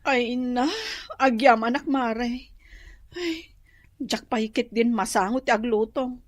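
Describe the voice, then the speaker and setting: An actress with an Ilocano accent playing a blunt, worn wife and carer in a radio drama. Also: female voice, fairly high pitch, slow, no echo. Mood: grateful. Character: blunt, worn wife minding her hospitalized husband.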